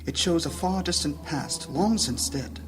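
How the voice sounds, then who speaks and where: A man's voice: a little high, natural voice. Man playing a solemn, worried mage leader. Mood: grave, worried. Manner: formal, measured, solemn. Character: grave, worried male guild leader, a grey mage. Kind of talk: fantasy audio drama acting. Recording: music behind the voice.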